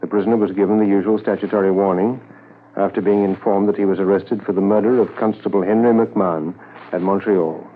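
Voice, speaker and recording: An actor narrating a 1950s radio drama as a sober, reflective Mountie, measured and formal. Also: male voice, fairly low pitch, fairly even intonation, narrow, tinny audio, a little hiss or hum, close to an everyday voice. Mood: grave, factual.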